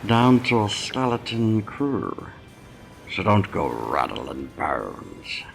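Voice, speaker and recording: An actor playing a folksy, self-assured space station boss with a gravelly, commanding delivery in a noir video game. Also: male voice, deep voice, huge pitch swings, takes it slowly, fairly bright tone, faint music behind, heavily acted. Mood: smug, businesslike. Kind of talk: noir point-and-click game acting.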